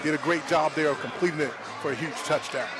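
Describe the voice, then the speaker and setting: A man's voice: a little high, fast. A man giving conversational football analysis as a color commentator, plain-spoken and folksy, repeating his points.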